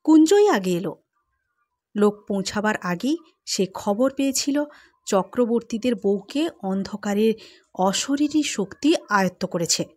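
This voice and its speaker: Slow, measured woman narrator. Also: medium pitch, animated, a little mellow, no room sound. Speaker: audiobook narrator. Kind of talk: scripted literary narration.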